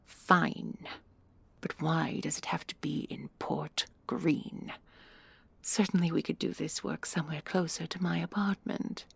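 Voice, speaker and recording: A woman's voice: deep voice, steady tone, a little slow, vocal fry, husky, dry recording, whispered, full character voice. A woman playing a proud, sardonic commandant and inventor in a sci-fi audio drama, with a dry, haughty manner. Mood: grudging, curious. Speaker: voice actor and creator. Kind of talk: sci-fi audio roleplay acting.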